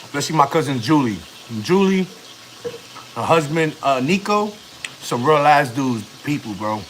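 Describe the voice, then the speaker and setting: A man's voice: a little high, animated, normal speed, fairly bright tone, slight background noise, rough recording. Slangy man in his thirties. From United States. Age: 30s. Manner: loose street slang, rambling and chatty. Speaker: family vlogger. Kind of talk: casual live-stream chat.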